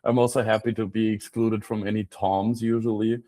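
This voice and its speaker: A man's voice: on the low side, flat delivery, brisk, warm tone, dry recording. A man with an Austrian accent giving an informal slide talk in a wry, self-deprecating way. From Graz, Austria. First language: German. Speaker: guest speaker, freelance copywriter.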